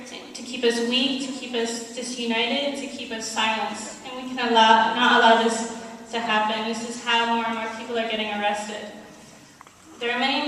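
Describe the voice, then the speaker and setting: A woman's voice: on the high side, fairly bright tone. A woman giving an earnest, emphatic prepared speech as a committee member, appealing to her community to get involved. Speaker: activist committee member giving closing remarks. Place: large indoor hall at an Islamic center. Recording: echoey hall, distant mic, faint noise.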